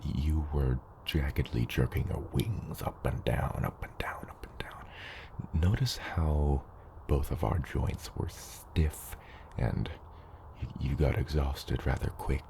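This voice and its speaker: A man voicing a patient, gently teasing adult dragon father in an ASMR roleplay, with a deep, gravelly, partly whispery voice and a measured, mentoring manner. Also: exaggerated voice. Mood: hesitant, gently critical. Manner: slow, measured mentoring with hesitant asides. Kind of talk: ASMR roleplay, acted monologue to the listener.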